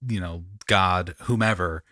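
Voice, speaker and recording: Deep-voiced man, bright and close. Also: fairly even intonation, unhurried, no room sound. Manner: emphatic, effusive, repeats phrases for effect. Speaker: podcast host. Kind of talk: casual conversational interview.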